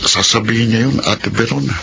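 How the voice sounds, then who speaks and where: A man's voice: medium pitch, steady tone, a little fast, creaky, background noise. A young man playing a troubled son in a radio drama, hesitant and anxious. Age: young adult. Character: troubled young man torn by his mother. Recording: music bed under the voice.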